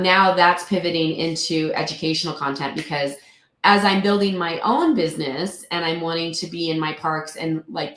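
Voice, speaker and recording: A woman with a deep, fry-laden voice, a little throaty from a recent cold. She talks earnestly and at length, full of "um" and "like." Her voice carries a roomy echo. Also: fairly bright tone. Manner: earnest, rambling with many fillers. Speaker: real estate investor guest. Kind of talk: casual interview talk.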